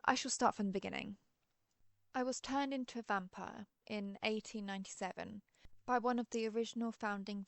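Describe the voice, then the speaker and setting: A woman acting as a century-old female vampire, audio roleplay, playfully grand, chatty, gravelly creak, medium pitch, dry recording. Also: dry recording. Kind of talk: scripted audio roleplay monologue.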